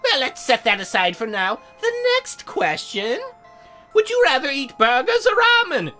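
A man voicing a teasing young female demon dealmaker in a coy, formal, measured way for a game. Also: very high-pitched, sing-song, bright, forceful tone, faint music behind, exaggerated voice. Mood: prim, teasing.

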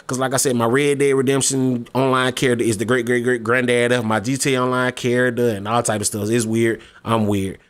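Laid-back young man in his twenties. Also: mid-range voice. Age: 20s. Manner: laid-back, slangy, eager; pleading, repeats himself. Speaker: gaming YouTuber. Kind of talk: casual discussion vlog.

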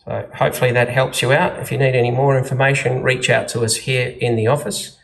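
A man's voice: mid-range voice, little pitch movement, a little fast, vocal fry, poor audio. A man with an Australian accent giving casual, practical step-by-step instructions as an installer showing how something is set up. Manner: plain, practical, informal. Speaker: security installer giving a tutorial. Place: indoors at a wall-mounted monitor.

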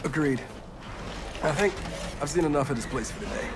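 An actor playing a loyal, wry young male soldier in a video game, speaking in an animated way. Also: on the high side, brisk, background noise, natural voice. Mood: wry, low-key.

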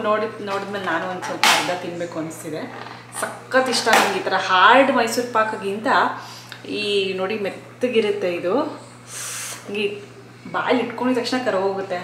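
A woman's voice: mid-range voice, a little slow, long pauses, fairly bright tone. A young woman from India vlogging at home in a casual, chatty way, explaining household things one by one. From Karnataka, India. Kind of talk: casual chat. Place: home kitchen, then living room indoors. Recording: echoey room with background noise.

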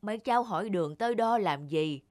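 A man voicing a big, gruff forest animal in a folk-tale cartoon, speaking slowly and weightily. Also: high voice, lively intonation. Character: big, gruff male forest beast, bear or tiger. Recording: loud music underneath.

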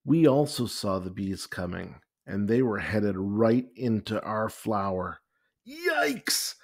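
A man reading a children's picture book aloud with expressive, deliberate storytelling. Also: fairly low pitch. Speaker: story time reader.

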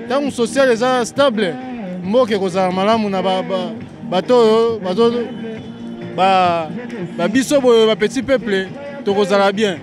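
A Congolese man with a strikingly high voice gives his opinion in a street interview. Music plays clearly behind him as he talks outdoors. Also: normal speed. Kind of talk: vox pop opinion. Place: outdoor market beside traffic. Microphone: handheld mic.

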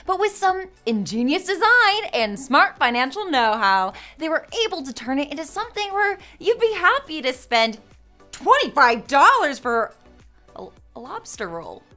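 A woman's voice: sits high, big pitch swings, normal speed, bright, forceful tone, faint music behind. A young woman giving scripted, sarcastic commentary as a video essayist, with a theatrical, mock-indignant manner. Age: young adult.

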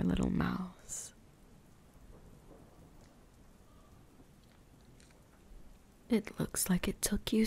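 A woman voicing a seductive, menacing vampire huntress in a breathy ASMR whisper, slow, teasing and commanding. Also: medium pitch, a little mellow, heavily acted. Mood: teasing, possessive.

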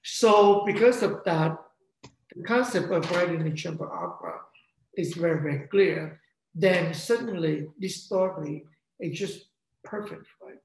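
A middle-aged man with a Vietnamese accent, a composer speaking haltingly on a video call. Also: fairly high pitch. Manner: halting, searching for words. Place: home room. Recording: echoey room, faint noise.

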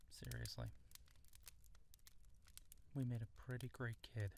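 A man plays a loving, gently playful young husband and new father in an intimate audio roleplay. He speaks slowly at a middling pitch, with tender teasing and hesitant pauses. There is noticeable noise behind the voice. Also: big pitch swings, gravelly, exaggerated voice. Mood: tender, sincere.

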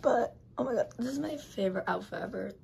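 A young woman with a hoarse voice from being sick, chatting to her phone camera in a hotel room in a bubbly, gushing way. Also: on the high side, animated, fast, a little mellow, a little hiss or hum. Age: young adult. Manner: bubbly, gushing, talks to viewers as guys. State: hoarse, lost voice from illness. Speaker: travel vlogger. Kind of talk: casual vlog chat to camera. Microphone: handheld phone camera at arm's length.

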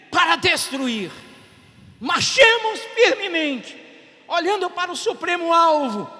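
A middle-aged Brazilian pastor with a Bahian accent, preaching a sermon on a handheld mic at an outdoor stage and building to shouted, repeated phrases. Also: male voice, extremely high pitch, long reverb tail. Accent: Bahian Portuguese. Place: outdoor stage at a drive-in service.

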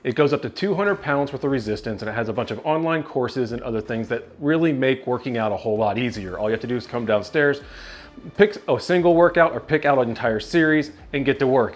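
A man in his thirties giving an upbeat walkthrough of his home basement, talking to the camera with a clip-on mic. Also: mid-range voice. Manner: upbeat, gestures with his hands while walking and talking to camera. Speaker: tech reviewer giving a home tour. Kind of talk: casual home tour walkthrough. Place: home basement rooms, indoors. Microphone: clip-on lapel mic.

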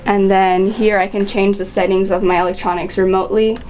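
A woman in her late teens, a polite high school student giving informal answers in an interview. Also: flat delivery, brisk, darkish tone. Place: small school office, indoors. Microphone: camera microphone in the room. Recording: slight background noise in office.

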